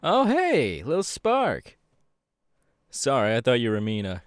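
A man's voice: medium pitch, unhurried, clear, resonant tone, dry recording, clean studio sound. A man playing an upbeat, easygoing young electric superhero in a casual, chatty audio roleplay. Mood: sheepish. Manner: casual, animated, hesitant with fillers. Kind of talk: audio roleplay, chatty anime character acting.

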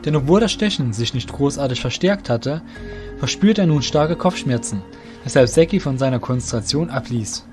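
A man reading scripted narration for an audio drama in a measured storytelling way. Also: medium pitch, expressive, over music.

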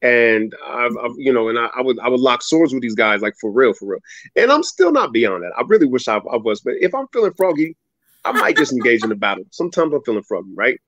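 A man in his thirties telling a personal story as a guest on a video-call interview, animated and dramatic, leaping up in pitch when he acts out other people. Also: quick pace, no room sound. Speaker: guest speaker. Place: home room with ceiling fan, indoors. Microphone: video call webcam. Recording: dull, dry video call audio.

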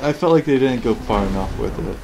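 A man's voice: medium pitch, lively intonation, fairly quick, very noisy. Male cutscene commentator, mid-range voice, loose and questioning, lightly sardonic, over audible game sound. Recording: game audio playing behind voice.

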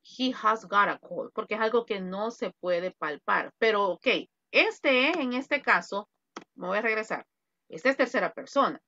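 A woman's voice: medium pitch, animated, moderate pace, bright, forceful tone. A woman teaching an online English grammar class, explaining patiently and quizzing her students. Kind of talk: online grammar lesson in Spanish. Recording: dull, dry video-call audio.

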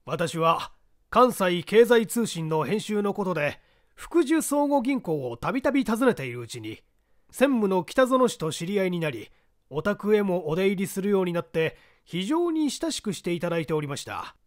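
A man's voice: high voice, sing-song, normal speed, pitched above the performer's own voice. A man voicing an earnest, formal male letter writer in a mystery audiobook, polite, solemn and emphatic.

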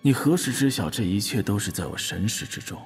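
A cold, proud eunuch master, cavernous reverb. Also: male voice, lowish voice, expressive, brisk, husky, exaggerated voice. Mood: cold, solemn. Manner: stern, probing, archaic formal diction. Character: proud, cold young-looking eunuch, supreme martial master. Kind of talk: audio drama acting. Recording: long hall reverb, music underneath. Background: background music.

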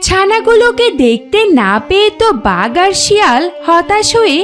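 A woman's voice: very high voice, very animated, normal speed, a bit bright, faint music behind. A woman reading scripted narration for a children's animal story.

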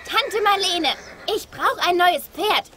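A woman playing a stubborn, impatient young girl in an animated film, whiny and indignant. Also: very high voice, takes it slowly, a little hiss or hum, heavily acted.

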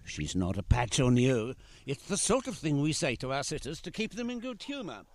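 A man's voice: medium pitch, big pitch swings, moderate pace, dry recording, close to an everyday voice. A man playing a fond, whimsical painter father in a radio play, mock-grand and tender. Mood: fond teasing.

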